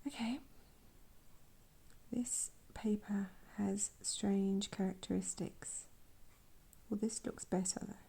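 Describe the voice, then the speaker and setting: A woman talks slowly through a painting session, her voice croaky from a sore throat and gravelly at the edges. Her tone is mellow and dark, and she drops into quiet half-whispers as she works. The effect is intimate and unhurried. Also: medium pitch, steady tone. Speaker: art channel host. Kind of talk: soft-spoken painting tutorial.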